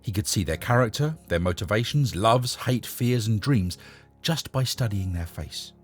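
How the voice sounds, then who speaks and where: A man with a husky voice narrating a short story in a measured, expressive storytelling manner. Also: fairly low pitch, soft background music. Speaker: narrator and host reading short stories. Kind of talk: scripted story narration with a short casual introduction.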